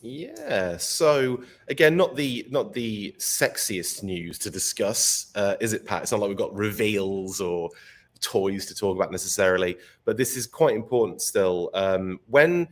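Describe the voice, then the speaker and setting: Croaky man hosting a chatty live stream. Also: lowish voice, animated, a little slow, a little hiss or hum. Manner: chatty, laughs often. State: croaky voice from illness. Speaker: podcast host. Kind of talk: casual live-stream chat.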